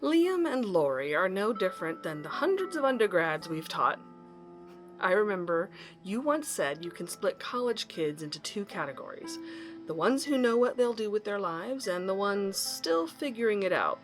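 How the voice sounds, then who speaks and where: A woman's voice: mid-range voice, big pitch swings, close to an everyday voice. A woman playing a wistful professor, narrating tenderly and intimately to a loved one in an audio drama. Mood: reflective. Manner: measured, intimate, reflective. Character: tender, wistful female professor narrating to her lost love. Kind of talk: dramatic first-person narration. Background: music under the voice.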